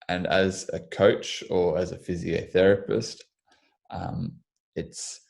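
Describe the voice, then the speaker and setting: Young Australian man, physiotherapist guest, very deep voice with vocal fry, thoughtful and hesitant. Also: darkish tone. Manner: measured, with frequent restarts and ums. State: slightly croaky in the morning. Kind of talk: thoughtful interview answers.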